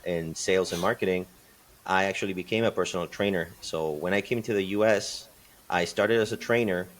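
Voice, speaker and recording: A man from Peru, answering interview questions as a podcast guest in a thoughtful way, pausing and restarting often. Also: deep voice. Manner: thoughtful, many fillers and restarts. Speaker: podcast guest, construction company partner.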